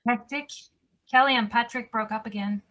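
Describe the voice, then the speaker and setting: A woman's voice: on the high side, steady tone, normal speed, lo-fi sound, background noise, close to an everyday voice. A woman playing a no-nonsense, wry mother in her mid-thirties in a drama table read. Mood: wry, matter-of-fact.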